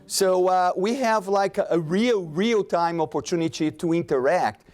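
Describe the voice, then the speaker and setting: A man's voice: high voice, normal speed, a little mellow. A man speaking at an academic conference panel, conversational and full of restarts. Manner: conversational, many restarts and fillers. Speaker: conference presenter.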